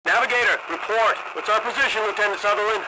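An actor playing a steady, commanding World War II bomber captain, giving terse military orders in a war video game. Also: male voice, sits high, fast, slight background noise, rough recording. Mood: firm command.

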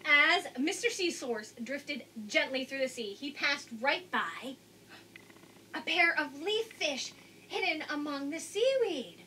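Bright, high-voiced woman reading to children. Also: slow. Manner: expressive, addressing young children. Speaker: storybook reader. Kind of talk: children's picture-book read-aloud. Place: indoors, small room with curtain backdrop. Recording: faint background noise.

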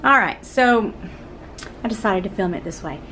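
A middle-aged woman vlogging casually to camera in a vacation condo, chatty and relaxed, laughing softly between sentences. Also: mid-range voice, moderate pace. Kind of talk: casual vlog talk to camera. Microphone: phone held at arm's length. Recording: noisy phone audio.

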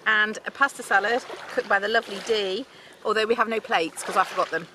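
A woman's voice: medium pitch, a little fast. A woman chatting at a beach picnic, making fun of herself as she talks. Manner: chatty, self-mocking. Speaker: fellow sailor, friend. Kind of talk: casual chat. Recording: recorded from a distance with wind noise.

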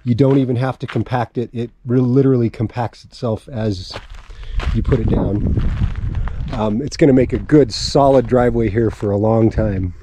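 A man's voice: medium pitch, steady tone, normal speed, noisy background. A man in his forties giving a casual walk-around vlog outdoors on open dry land, explaining his plans as he points things out. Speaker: homesteading vlogger.

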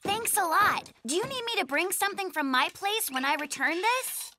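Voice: very high-pitched, animated, normal speed, sharp tone, a little hiss or hum, heavily acted. A performer voicing an earnest, friendly young male polar bear cub with a mild manner in a children's cartoon. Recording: music behind the voice.

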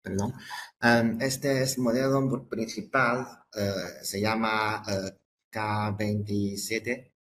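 A man presenting products in a webinar, halting and hesitant, often repeating words and breaking off phrases. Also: on the low side, fairly even intonation, a bit bright, a little hiss or hum.

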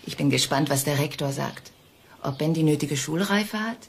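A woman's voice: sits low, expressive, normal speed, vocal fry, slight background noise. An actress playing a caring, practical adult woman in a children's family drama.

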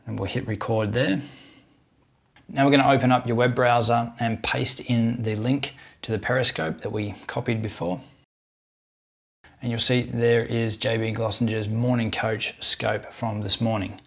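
A man in his thirties narrating a scripted how-to tutorial, giving clear step-by-step instructions as the host. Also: medium pitch.

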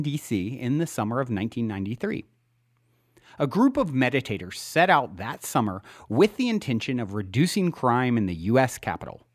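A man with a mid-pitched voice narrates a nonfiction audiobook in a measured, emphatic way. His intonation is lively and wide-ranging, with deliberate dramatic pauses. The recording is dry, with very little room sound. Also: dry recording. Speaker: podcast host and author reading his own book.

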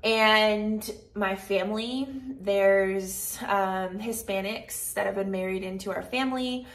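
A woman's voice: medium pitch, steady tone, takes it slowly. A woman in her thirties speaking in an earnest, conversational way, sharing personal reflections as a casual vlogger. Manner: earnest, conversational, heavy use of "like" and "right". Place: living room at home, indoors. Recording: echoey room.

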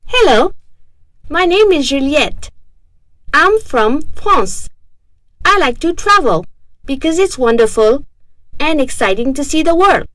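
Woman reading a lesson dialogue, high voice, very dry close sound. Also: big pitch swings, slow pace, long pauses, a bit bright, no room sound. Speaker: dialogue reader.

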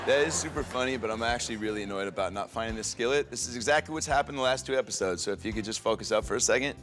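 An actor playing a spaced-out, forgetful, giggly young stoner who hosts a cooking show in a TV comedy sketch. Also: male voice, fairly low pitch, over music, lightly acted. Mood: mildly annoyed. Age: young adult. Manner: slow-witted, rambling, forgetful, giggly. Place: TV studio kitchen set.

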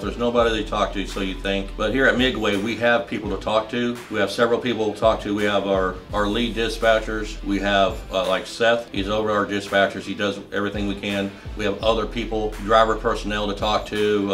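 Plainspoken trucker, low voice. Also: male voice, fairly bright tone. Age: 50s. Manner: plainspoken, matter-of-fact, lists in short bursts. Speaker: truck driver. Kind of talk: answering interview questions.

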